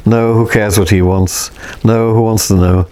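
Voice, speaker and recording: Man reading as a mild, polite young office clerk, understated and unflappable, lifted a little above a low reading voice. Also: fairly even intonation, normal speed, dark tone, close to an everyday voice. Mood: offhand.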